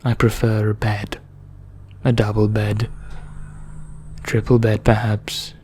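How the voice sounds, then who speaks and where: A man playing an ancient, haughty vampire knight in an ASMR roleplay, speaking softly and half in whispers, formal and measured with deliberate pauses. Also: on the low side, monotone, slight background noise. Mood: languid, condescending. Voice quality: soft, breathy, half-whispered, husky. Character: ancient male vampire knight, haughty, languid, darkly courteous.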